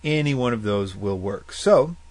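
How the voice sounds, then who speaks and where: A man hosts a faith-based call-in style radio program and works through listener questions in a relaxed teaching voice. He is earnest and thoughtful. He weighs his points as he goes and lays them out plainly. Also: mid-range voice, dry recording. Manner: earnest and thoughtful, spells out addresses slowly. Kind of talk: casual explanatory Bible teaching.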